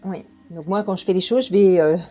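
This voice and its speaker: A woman's voice: fairly low pitch, a little fast, warm tone. A woman from Belgium, chatting as an interview guest and telling her story with frequent hesitations. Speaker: guest, quantum practitioner.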